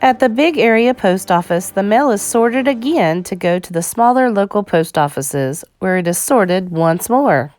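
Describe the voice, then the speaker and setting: A woman reading an educational children's picture book aloud in a measured, clearly phrased storytime delivery. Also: medium pitch, expressive, a little mellow, no echo, faint music behind.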